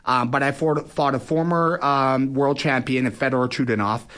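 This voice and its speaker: A man's voice: mid-range voice, fairly even intonation, moderate pace, fairly bright tone. A man speaks as an athlete guest in a casual interview, conversational and often tagging phrases with "you know" and "right?". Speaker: guest, professional boxer.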